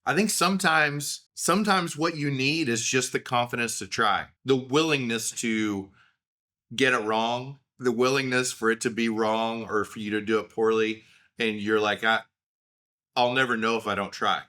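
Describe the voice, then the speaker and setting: Man, Oklahoma accent, thirties, bright tone, rambling self-deprecating monologue. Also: medium pitch, normal speed. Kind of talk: casual solo monologue.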